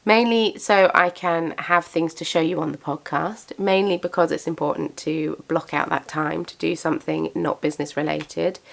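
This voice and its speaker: A woman's voice: sits low, vocal fry. A young woman chatting casually to camera about her craft business and plans, self-deprecating and a little congested from a cold. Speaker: craft business owner and vlogger.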